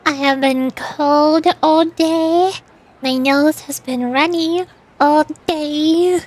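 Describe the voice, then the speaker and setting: A woman's voice: sits high, fairly even intonation, drawn-out words. A tired, sleepy woman chatting casually and rambling on a livestream as a VTuber. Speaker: VTuber streamer and amateur voice actor.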